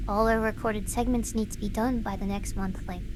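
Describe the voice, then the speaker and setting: A woman's voice: medium pitch, steady tone, moderate pace, noisy background, lightly acted. A woman playing a defiant young performer in an audio drama, intense, stubborn and earnest. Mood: frustrated, defiant. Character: driven, defiant young woman performer, bitter but passionate.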